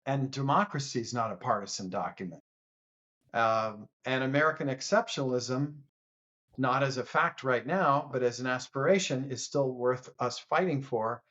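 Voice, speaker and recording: A man appearing as a podcast guest, speaking earnestly and haltingly, often restarting in a casual political debate. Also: medium pitch, fairly bright tone, muffled recording.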